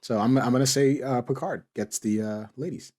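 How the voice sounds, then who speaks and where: A man's voice: mid-range voice, moderate pace, a little mellow. A man hosting a casual group chat, teasing and bantering playfully with his co-hosts. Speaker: podcast host.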